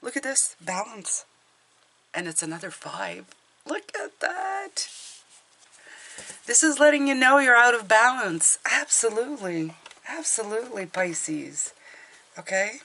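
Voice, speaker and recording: A middle-aged woman with a hoarse voice from a cold, chatting casually through a tarot reading with fillers and restarts. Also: a little high, big pitch swings, measured pace, pauses often, pushed and bright. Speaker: tarot reader.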